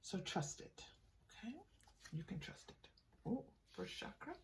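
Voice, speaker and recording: A woman giving an improvised spiritual tarot reading straight to the viewer, in a halting, conversational way that often seeks agreement. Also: medium pitch, animated, creaky, a little mellow, recorded from a distance, slight background noise. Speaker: tarot reader and channel host.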